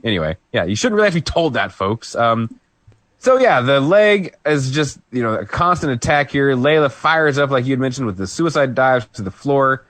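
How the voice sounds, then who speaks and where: Lively man recapping wrestling. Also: mid-range voice, moderate pace, no echo. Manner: lively, rambling play-by-play with asides. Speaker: podcast co-host. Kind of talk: casual wrestling review chat.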